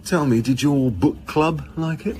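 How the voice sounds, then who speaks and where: A man's voice: on the high side, a little slow, a little mellow, close to an everyday voice. A man playing a genial, dry senior police boss in a naturalistic radio drama, droll and understated. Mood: droll, casual. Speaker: actor in an audio drama.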